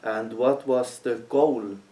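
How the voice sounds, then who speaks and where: Slow, hesitant young man from Switzerland. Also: medium pitch, mellow. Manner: hesitant, searching for words, many ums. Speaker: guest interviewer, student. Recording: voice heard from a distance.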